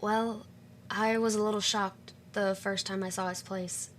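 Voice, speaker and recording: Actress as loyal protective woman, gentle, careful, mid-pitched, audio drama, faint ambient noise. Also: level tone, a little slow, close to an everyday voice. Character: considerate, protective woman, warehouse worker and loyal friend.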